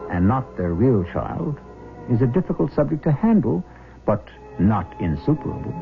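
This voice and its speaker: A man hosting and narrating a 1970s radio mystery drama with dramatic, ominous, deliberate phrasing. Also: fairly low pitch, vocal fry. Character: grave male storyteller introducing a mystery. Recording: thin phone-like old radio broadcast, music behind. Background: music.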